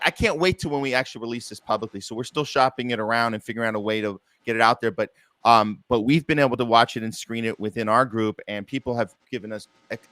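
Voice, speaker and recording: A man's voice: medium pitch, sharp tone, dry recording. A man hosting a casual interview, talking in an effusive, appreciative, rambling way. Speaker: podcast host, theater producer.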